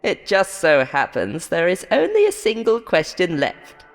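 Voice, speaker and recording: A woman's voice: fairly low pitch, huge pitch swings, unhurried, long reverb tail, soft background music, exaggerated voice. Woman voicing a mocking, sinister game show host monster. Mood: showy, falsely cheerful. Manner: taunting, condescending, theatrical showman patter. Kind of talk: horror audio story character voice.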